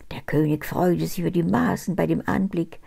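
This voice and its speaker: A woman in her seventies reading a fairy tale aloud at home in an expressive, lively way, with a camera set up at table distance. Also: on the low side, normal speed, gravelly, breathy edge. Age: older, about 70s. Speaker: storyteller reading fairy tales. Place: cozy home study with bookshelves, indoors.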